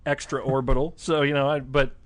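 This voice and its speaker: A man chatting casually on a film podcast panel, halting and rephrasing his thoughts as he goes. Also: medium pitch, level tone, no room sound. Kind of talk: casual chat.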